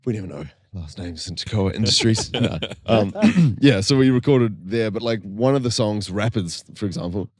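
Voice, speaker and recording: A young man, chatting casually as a guest musician on a podcast, laid-back and quick to laugh. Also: lowish voice, no room sound, clean studio sound. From New Zealand.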